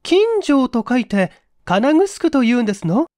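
A man voicing a polite, modest young woman with soft feminine speech in a dramatic reading. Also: extremely high pitch, big pitch swings, moderate pace, dry recording, studio quality, pitched above the performer's own voice. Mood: curious.

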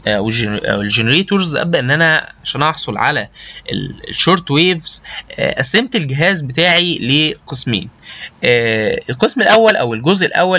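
A man with an Egyptian Arabic accent giving an informal slide lecture as a lecturer, mixing in English technical terms and haltingly repeating words as he searches for terms. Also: on the high side, pushed and bright, no room sound. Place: voice-over recorded over slides. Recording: dull, lo-fi voice recording.

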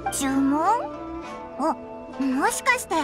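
A woman voicing a small, childlike fairy companion in an anime game, squeaky, excitable, brash and greedy. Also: slow, exaggerated voice. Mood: curious. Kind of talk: Japanese anime game voice acting. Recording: background music under the voice.

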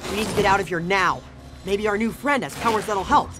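A man voicing an eager, excitable teenage boy sidekick in a superhero video game, earnest in manner. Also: high-pitched, a little fast, natural voice. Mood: urgent. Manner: animated, earnest. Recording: loud music behind.

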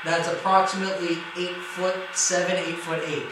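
A man's voice: high voice, flat delivery, normal speed. A man who is a CB radio hobbyist, chatting in radio slang and repeating his short phrases. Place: small bare wooden garage. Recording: noisy, echoey garage camera recording.

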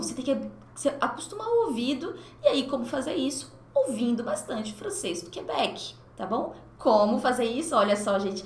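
A woman's voice: a little high, echoey room, a little hiss or hum. A young woman teaching French in a livestream, talking animatedly and mixing two languages, on a headset mic in a small room at home. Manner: animated, gestures a lot, mixes two languages. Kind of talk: livestream lesson, casual and expressive.

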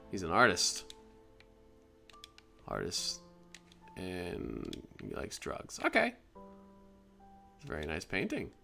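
Low gravelly gaming commentator. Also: male voice, a bit bright. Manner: chatty, muses aloud while reading on-screen text. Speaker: let's play host.

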